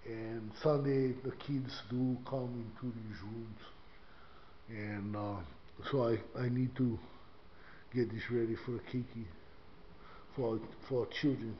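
A man's voice: medium pitch, steady tone, takes it slowly, long pauses. Older man, casual rambling walkthrough, body-cam, muffled audio with faint noise. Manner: rambling, many fillers and restarts. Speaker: church custodian vlogger. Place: inside a small church building. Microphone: body-worn action camera.

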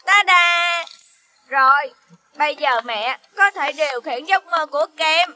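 A woman's voice: sits high, measured pace, bright, forceful tone, no echo, full character voice. A woman voicing a perky, helpful cartoon child companion with a childlike delivery and a Southern Vietnamese accent. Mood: playful, proud. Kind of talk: animated children's cartoon dubbing.

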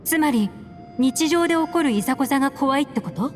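A woman's voice: high-pitched, animated, fairly quick, music in the background. A woman playing a cool, sceptical, secretive female agent in a video game, composed and aloof, with elegant phrasing. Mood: measured, wary.

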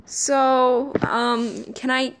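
A woman voicing flustered, lovestruck young male cartoon space pilots in an amateur comic dub, with an emotional, halting delivery. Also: sits high, little pitch movement, a bit bright, slight background noise, full character voice, rough recording. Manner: stammering, emotional.

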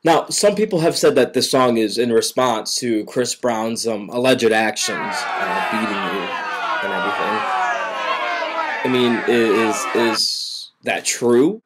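Brief: young guy hosting a spoof interview, polite but flustered, mid pitch. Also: measured pace, crowd noise, background noise. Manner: fake-polite, flustered talk-show questions. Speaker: mock interviewer. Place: living room at home. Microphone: webcam.

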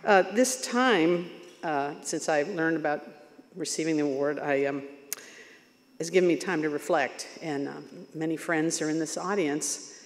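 A woman in her sixties giving a humble award acceptance speech from a podium, halting with many fillers. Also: deep voice, some chatter behind, a little hiss or hum. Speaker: award recipient. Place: large banquet hall stage. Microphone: podium microphone. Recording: long hall reverb.

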